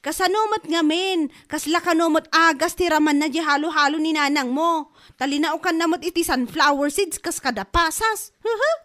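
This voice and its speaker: A woman's voice: high-pitched, moderate pace, a bit bright. A woman playing a boastful, scornful rival shopkeeper in a comedy drama. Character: boastful, snippy female rival neighbourhood shopkeeper. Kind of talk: Filipino comedy audio drama.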